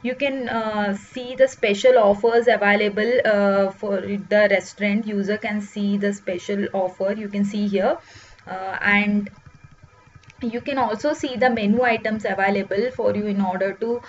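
A woman presenting a food ordering web app, explaining each step with many "uh" pauses. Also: medium pitch, steady tone. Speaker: software demo presenter. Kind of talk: screen-recorded product walkthrough. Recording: dull lo-fi voice-over with faint hiss.

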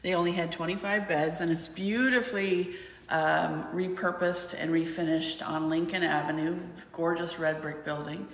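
Middle-aged female guest, explanatory storyteller, low voice, reverberant room. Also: steady tone, normal speed. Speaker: guest, outreach and networking representative. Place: large wood-paneled room indoors.

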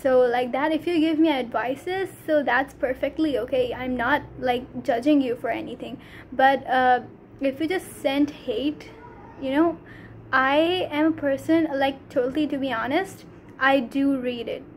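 Earnest Pakistani teen girl, high voice. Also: a little hiss or hum. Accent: Pakistani English. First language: Urdu. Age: teens. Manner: earnest, halting, many fillers. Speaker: vlogger. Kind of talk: casual talk to camera. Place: small room indoors. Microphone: phone camera at arm's length.

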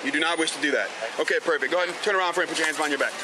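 A man's voice: a little high, very fast, noisy background. A man, a police officer giving procedural questions and orders outdoors into a body camera. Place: outdoors on a street at night. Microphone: body-worn camera. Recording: body camera on a night street, music behind.

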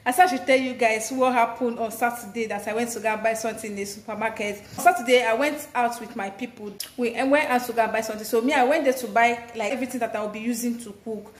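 Chatty woman in echoey kitchen. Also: a little high. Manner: chatty, talks straight to camera. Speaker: vlogger. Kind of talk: casual chat while cooking. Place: home kitchen, indoors. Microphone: phone camera at arm's length.

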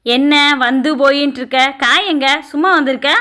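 A high-voiced, cruel stepmother played by a woman from a village family story. She speaks in a harsh, bossy way and nags like someone used to giving orders. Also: a little fast, natural voice. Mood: impatient, scolding. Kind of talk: amateur Tamil family story drama.

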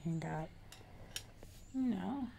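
A woman performs as a haughty, man-eating giantess who rules her jungle, whispering slowly and condescendingly in an ASMR roleplay. Her voice is low and crackles with fry. It is close-miked, with some background noise. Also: lively intonation, warm tone, full character voice. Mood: coaxing, imperious. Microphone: close mic in front of camera.

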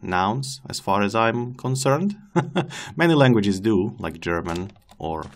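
A man's voice: lowish voice, expressive, slow pace. A man whose first language is not English, casually commenting on his gameplay as a let's-play host, chatting to the animals and joking at his own expense. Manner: chatty, talks to the game's animals and laughs at himself.

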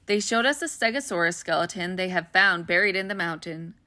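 Female storyteller, bright and clear, warm read-aloud manner for kids, dry close mic. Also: fairly low pitch, a little fast, no echo. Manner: gentle, expressive, child-friendly reading. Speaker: children's book read-aloud host.

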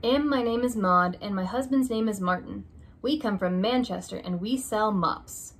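A young woman reading a children's alphabet picture book aloud, slow and deliberate, stressing each opening letter, in a small room. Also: medium pitch, breathy edge. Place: small room indoors by a curtained window.

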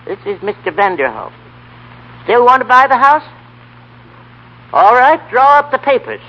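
A man's voice: very high voice, sing-song, measured pace, heavily acted. An actor playing a homespun, easygoing old American grandfather in a 1930s radio play. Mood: matter-of-fact. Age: older. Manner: homespun, plain-spoken. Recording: dull vintage 1930s radio broadcast.

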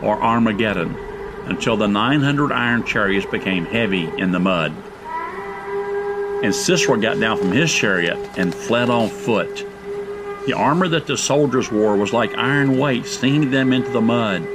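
A man narrating a scripted Bible story drama in a measured, solemn way. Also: fairly low pitch, lively intonation, background noise.

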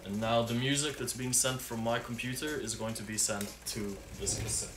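A man's voice: mid-range voice, steady tone, normal speed. A young man giving a casual, laid-back how-to demonstration in a small room at home. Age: young adult. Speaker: musician giving a tutorial. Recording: roomy sound with loud music. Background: loud music.

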